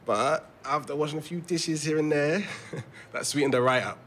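A man's voice: on the high side, normal speed, a bit bright, a little hiss or hum, close to an everyday voice. An actor playing a young man in a naturalistic audio drama, matey and colloquial, prickly with his mum. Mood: upbeat, matey. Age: young adult.

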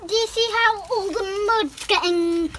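A woman's voice: very high voice, a little slow, a bit bright. A young girl chatting as she washes a car outdoors, narrating each step. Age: child. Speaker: child washing the car. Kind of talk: casual chat. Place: outdoors on a driveway. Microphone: handheld phone camera. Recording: noisy outdoor phone recording.

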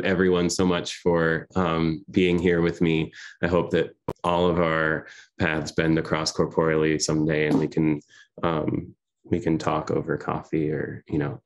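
A man in his thirties, a poet from Iran, giving a soft-spoken, hesitant poetry reading over a home video call. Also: deep voice. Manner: soft-spoken, hesitant with many fillers between poems. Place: home room with framed pictures on the wall. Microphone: laptop webcam video call.

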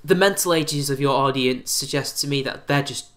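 Sarcastic young man with a bright voice. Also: mid-range voice, normal speed. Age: 20s. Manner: sarcastic, exasperated rants. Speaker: YouTube commentator. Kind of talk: response video commentary. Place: small bright room indoors.

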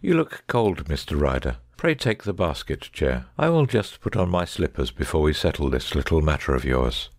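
A man's voice: extremely low pitch, big pitch swings, normal speed, few pauses, dry recording, studio quality, full character voice. A man playing a shrewd, commanding, coolly sardonic detective with precise, formal speech in an audiobook dramatic reading. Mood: suave, polite.

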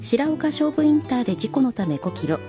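A woman's voice: sits high, very animated, a little fast, music in the background. A woman reporter delivering a scripted traffic bulletin on radio.

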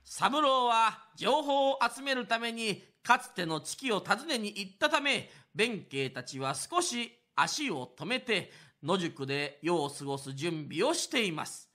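A man's voice: sits high, very animated, a little slow, few pauses, sharp tone. A man narrating a radio drama as a traditional Japanese storyteller, in a theatrical scripted kodan style.

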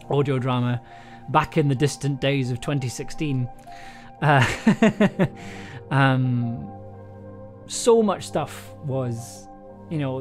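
A man's voice: on the high side, darkish tone. A young man chatting casually on a livestream, rambling and hesitant, often breaking off and restarting his own sentences. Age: young adult. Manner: rambling, hesitant, self-interrupting. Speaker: audio drama maker and livestream host. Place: small indoor home room.

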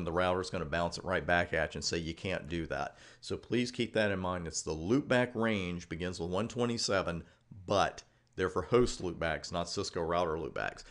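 A man's voice: low-pitched, a bit bright. A man giving an informal narrated slide lecture as an instructor, talking directly to the learner and stressing key points. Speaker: instructor of a computer networking course.

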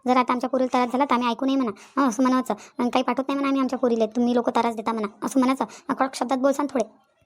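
A woman's voice: high voice, level tone, racing, close to an everyday voice. A woman voicing a quarrelsome, nagging village wife and mother in a comedy cartoon, with a rural Vidarbha Marathi accent, scolding and repeating her complaints. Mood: insistent. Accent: rural Maharashtrian, Vidarbha.

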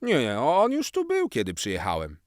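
Man acting affectionate playful father, children's story, reassuring, animated intonation, dry recording. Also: mid-range voice, normal speed, no room sound, voice pushed up. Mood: feigned surprise. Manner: warm, reassuring. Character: loving, playful dad of a young boy.

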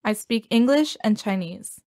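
A woman's voice: a little high, expressive, measured pace, dark tone, no echo, clean studio sound. A woman reading scripted example sentences for a language lesson, with careful, neutral enunciation.

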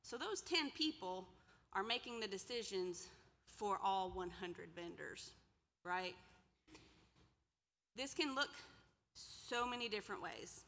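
Woman, 30s, extension presenter; chatty lecture, crisp bright tone, reverberant hall. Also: mid-range voice, animated, takes it slowly, pauses often. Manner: conversational, asks the audience questions. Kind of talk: workshop lecture with slides. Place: large lecture room indoors. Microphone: podium mic, far camera.

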